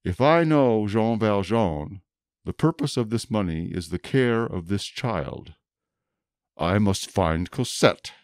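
A stern police inspector acted by a man dictates his notebook in a radio play. His lowish voice is deliberate and formal, with an obsessive edge. The sound is close and dry. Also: animated, a little mellow, dry recording. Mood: intent. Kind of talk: radio drama monologue.

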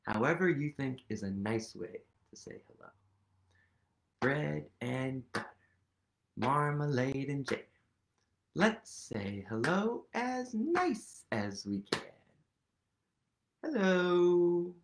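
A playful man in his thirties reads a picture book to small children in a slow, unhurried way. His pitch swings widely as he coaxes the kids along, and a gravelly edge sits under his voice. He sits on a sofa at home, talking into a webcam. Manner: animated, playful, sing-song, whispers for effect. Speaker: children's storytime reader. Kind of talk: read-aloud for young children. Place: living room at home, seated on a sofa.

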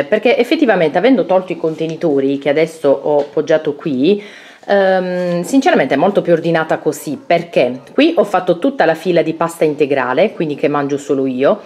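A woman in her thirties with a slightly low voice talks through her tidied kitchen pantry. She speaks casually and hesitantly, filling gaps with little pauses, recorded up close on a camera at home. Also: darkish tone. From Italy. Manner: chatty, hesitant with fillers. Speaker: vlogger. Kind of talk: casual home tour narration.

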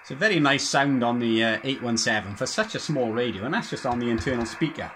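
A man talks offhand over his radio in a home shack, his voice in the room. Clear static runs behind him. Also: mid-range voice, fast talker. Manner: offhand, wry remarks. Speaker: radio amateur listening. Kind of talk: casual commentary at the radio.

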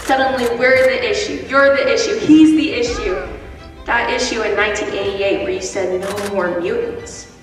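A young woman performing a spoken word poem on a school stage, intense and building to forceful peaks. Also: normal speed, music in the background. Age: teens. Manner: intense, rising to forceful peaks, then dropping low. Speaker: student poet. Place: school stage with red curtain. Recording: echoey hall from far off.

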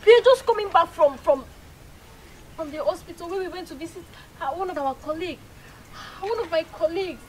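A woman playing an eager young wife and employee in a skit, gushing and grateful, then shrill and upset, recorded with background noise. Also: fairly quick, darkish tone, reverberant, low-quality recording. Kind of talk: amateur skit acting.

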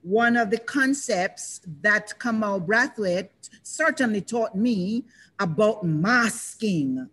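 Measured older woman moderating a panel. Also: medium pitch, animated, a bit bright. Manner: reflective, measured, with pauses and restarts. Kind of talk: panel discussion over video call. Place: indoors, in front of a banner. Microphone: video call.

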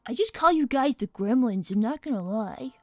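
Woman doing a high squeaky kid fox boy, earnest n clever, chatty streamer, dry mic. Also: animated, normal speed, darkish tone, no room sound, faint music behind. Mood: frustrated. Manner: chatty, thinking aloud, candid. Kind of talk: in-character gaming livestream chat.

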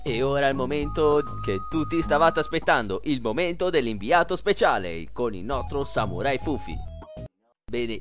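A man's voice: mid-range voice, close to an everyday voice. A man voicing a cheerful, callous tiger puppet who anchors a parody TV news show in a mock-professional, gleefully tasteless way.

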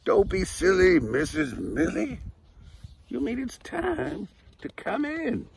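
A man voicing a class of young schoolchildren, speaking high and loud as they correct their teacher. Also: wildly swooping pitch, takes it slowly, vocal fry, a little hiss or hum, full character voice. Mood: exasperated.

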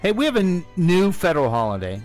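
A man's voice: sits high, sing-song, fast talker, faint music behind. A middle-aged man chatting casually as a podcast host who asks the questions, speaking into a close studio mic. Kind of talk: casual chat. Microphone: close studio microphone.

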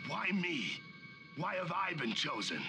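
A man's voice: a little high, sing-song, unhurried. A man playing a resolute young tiger kung fu hero in a video game, with earnest, declamatory delivery. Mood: sorrowful. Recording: loud music over the voice.

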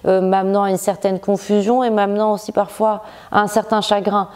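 Woman novelist, from Morocco, soft dark tone, thoughtful, hesitant restarts, seated interview by a window. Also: mid-range voice, flat delivery. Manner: thoughtful, many restarts and filler pauses. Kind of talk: reflective interview monologue. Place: bright living room by a large window.

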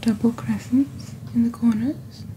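Slow, whispery young witch played by a woman. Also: on the high side, steady tone, slight background noise, close to an everyday voice, low-quality recording. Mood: soft, soothing. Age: young adult. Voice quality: soft, breathy near-whisper. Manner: slow, deliberate, gently formal. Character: genteel witch hosting a shopping channel. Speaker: ASMR performer. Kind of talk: soft-spoken ASMR roleplay.

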